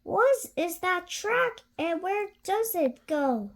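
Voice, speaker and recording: Woman playing a high, excitable monster child. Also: slow, creaky, heavily acted. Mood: excited. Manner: exclaiming, wide-eyed questioning. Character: brave, excitable young girl monster child.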